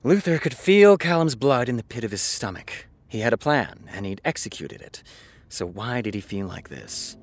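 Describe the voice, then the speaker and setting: A man's voice: mid-range voice, big pitch swings, moderate pace, dry recording. A man playing a wry, self-critical writer who reads dramatic prose aloud with brooding delivery and muttered asides in an audio drama.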